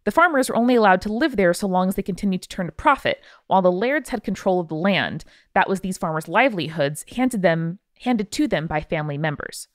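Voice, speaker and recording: A woman's voice: on the low side, animated, a little fast, hardly any pauses, no room sound, clean studio sound. A woman hosting a podcast, reading out listener mail in a casual, irreverent way with wry asides. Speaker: podcast host.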